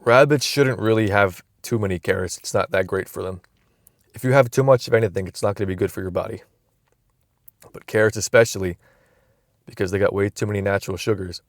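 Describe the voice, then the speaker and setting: A man's voice: lowish voice, fairly even intonation, a little fast, dry recording, studio quality. A young man vlogging from inside a car, musing aloud in a loose, rambling way with frequent restarts, recorded on a phone camera. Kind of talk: casual rambling vlog.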